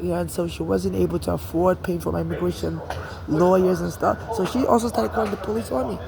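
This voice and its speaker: Gravelly high-voiced Nigerian man. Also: steady tone, fairly quick, noisy background. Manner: rambling, frequent restarts and "you know". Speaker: interviewee. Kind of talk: street interview, personal account.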